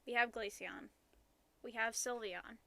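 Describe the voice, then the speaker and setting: A woman co-hosts a laid-back podcast in a somewhat high voice. She hedges often and stumbles through ums and restarts while she explains. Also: fairly even intonation, measured pace, creaky, pushed and bright, no room sound. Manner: hesitant, hedging, many ums and restarts. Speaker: podcast co-host. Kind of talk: casual podcast chat.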